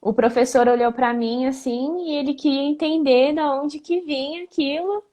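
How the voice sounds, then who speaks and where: A woman with a fairly high voice recounts her travels as a guest. She tells quick, lively anecdotes and laughs often along the way. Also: dull audio. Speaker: guest, former exchange student. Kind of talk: casual storytelling interview.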